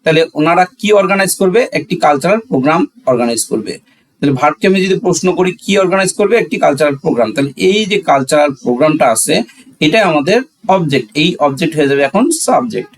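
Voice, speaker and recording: A man with a Bangladeshi accent and a fairly high voice gives a classroom-style lesson. He explains step by step, repeats key phrases, and poses questions before answering them himself. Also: animated, fast. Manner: didactic, asks and answers own questions. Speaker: English grammar teacher.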